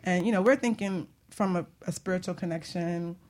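Very fast-talking, laughing woman radio co-host. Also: fairly low pitch. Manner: animated, laughs often, joking. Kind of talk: casual radio chat.

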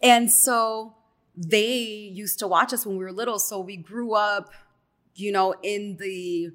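Woman in her thirties, radio personality, slangy storyteller, middle pitch. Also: slow pace. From Chicago, USA. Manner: lively storytelling, slangy, many fillers. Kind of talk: casual interview talk.

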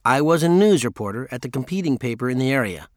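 Male narrator for memoir audiobook, mid-pitched, scripted read, dry recording. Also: animated, quick pace, no room sound.

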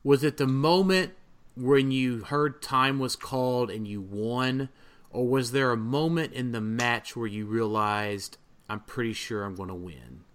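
A man hosting a casual gaming podcast, rambling and often pausing to gather his thoughts. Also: mid-range voice. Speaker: podcast host and competitive gamer. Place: voice recording for a group podcast.

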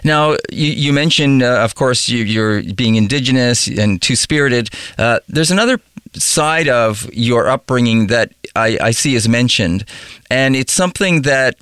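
A man hosting an interview, asking questions haltingly with many "uh"s and encouraging his guest. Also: mid-range voice, lively intonation, clear, resonant tone, no room sound. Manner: warm, hesitant, many "uh"s, encouraging. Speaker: interview host.

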